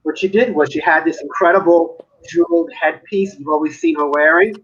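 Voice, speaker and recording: A New man chats and tells stories on a live video call, his voice husky and slightly breathy. The audio is a little dull and muffled. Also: fairly high pitch, fairly quick, a bit bright. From Manhattan. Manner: chatty storyteller, laughs often. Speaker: artist. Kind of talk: casual live-stream chat.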